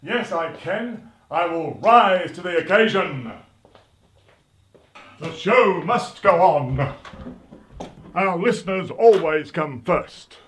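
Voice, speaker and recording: A man acting a hammy radio announcer in a comic radio drama, pompous, halting and flustered. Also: high-pitched, animated, fairly bright tone, roomy sound, slight background noise, heavily acted.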